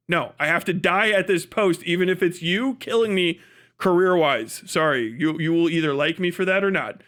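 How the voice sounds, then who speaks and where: A man's voice: a little high, a bit bright. An American man livestreaming an unscripted rant as a commentator, blunt, sarcastic and profane, emphatic and given to acting out mock dialogue. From United States.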